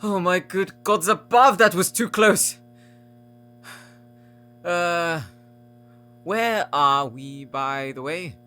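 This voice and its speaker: A woman playing an excitable, high-strung tech expert in an audio drama, flustered, emphatic and dramatic. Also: drawn-out words, pauses often, soft background music. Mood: relieved. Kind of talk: anime-style audio roleplay acting.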